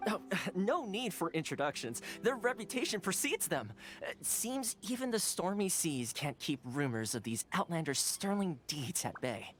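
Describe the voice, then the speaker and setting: A friendly young fixer from a video game. He talks in a breezy, theatrical way with very lively pitch, over faint music. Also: moderate pace, hardly any pauses. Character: friendly, smooth-talking young man, local fixer and trader.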